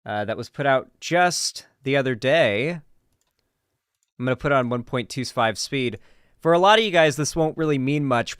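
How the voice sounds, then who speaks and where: A man's voice: medium pitch, clean tone, no echo. Casual male streamer, clean dry sound. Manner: informal, swearing, gamer slang. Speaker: streamer reacting to videos and chatting with viewers. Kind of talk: casual live stream commentary.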